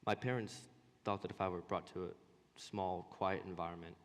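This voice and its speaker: A young man with a low voice gives a personal student speech on stage. He stutters, pausing and repeating words as he goes, and his voice rings with the long reverb of a hall. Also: steady tone. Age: young adult. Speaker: student presenter.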